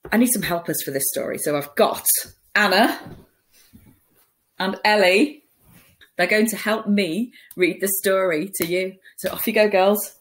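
A woman in her forties reads a picture book to young children as a teacher would, expressive and playful, with dramatic pauses and hushed asides, recorded in a room at home. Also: medium pitch. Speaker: primary school teacher reading a picture book. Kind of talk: animated children's story reading. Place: small room at home, indoors.

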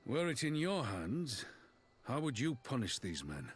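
An actor playing a stern, weary, middle-aged warrior general in a video game, speaking formally and with measured gravity. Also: male voice, medium pitch, sing-song, slightly rough, soft background music. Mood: resolute. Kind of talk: scripted video game dialogue.

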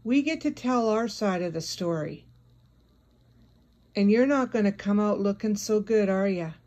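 A woman's voice: mid-range voice, measured pace, darkish tone. A woman giving a confrontational, scolding monologue addressed directly at someone, as an online video creator.

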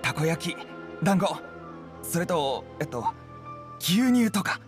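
A man's voice: on the high side, sing-song, takes it slowly, over music. A man playing a young, deferential street food vendor in an anime-style game, polite and earnest. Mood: eager, proud. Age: young adult. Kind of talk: anime-style video game dialogue acting.

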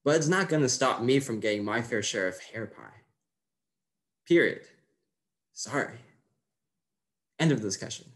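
A man's voice: mid-range voice, animated, measured pace, long pauses. A man playing a brash, cocky seminar guru in a monologue, preaching in emphatic, punchy short phrases. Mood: contemptuous, insistent. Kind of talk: motivational seminar monologue, stage acting.

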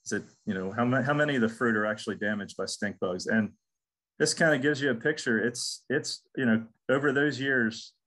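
A man's voice: mid-range voice, brisk, fairly bright tone. A middle-aged man from the United States giving a casual webinar lecture on crop pests, repeating words and restarting often. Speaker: extension entomologist. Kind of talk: recorded webinar lecture with slides.

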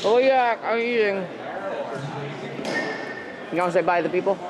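A chatty man with a high, bright-sounding voice talks excitedly into a handheld camera. A big indoor sports hall surrounds him, and a loud crowd rumbles behind every word. The recording is casual and close, with camera-mic roughness. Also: normal speed. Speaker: vlogger. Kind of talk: casual chat. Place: large indoor sports hall at a wrestling show.

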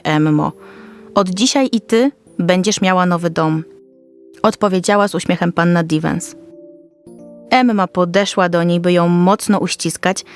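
Lowish-voiced woman narrating a fairy tale. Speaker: storyteller. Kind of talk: scripted children's story narration.

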